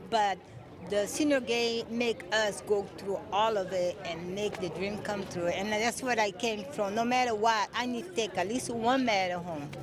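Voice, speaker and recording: Woman in her 60s, from Brazil, earnest, slightly broken English, crowd noise. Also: mid-range voice. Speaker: senior athlete. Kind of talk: interview answers. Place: outdoors at a sports event.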